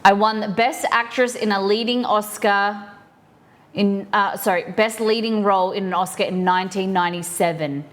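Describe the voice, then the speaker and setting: A woman's voice: mid-range voice, fairly even intonation, normal speed. A woman hosting a live stream, chatting casually and reading trivia clues aloud to her viewers while baking in her kitchen. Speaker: streamer host.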